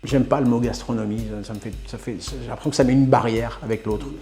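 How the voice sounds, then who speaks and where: A man's voice: medium pitch, fairly even intonation, fast, over music. A man in his sixties from northern France, a restaurateur telling his family story in an interview, thoughtful and often repeating words as he searches for them. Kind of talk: reflective interview talk.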